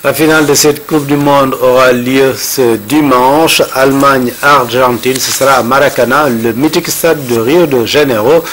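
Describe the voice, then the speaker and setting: A man presenting the evening TV news in a formal, measured way. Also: medium pitch, fairly even intonation, a bit bright, low-quality recording. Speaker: news anchor.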